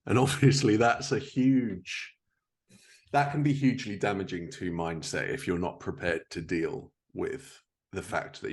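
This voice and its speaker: Man, podcast interviewer, creaky low voice, casual chat. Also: a little mellow.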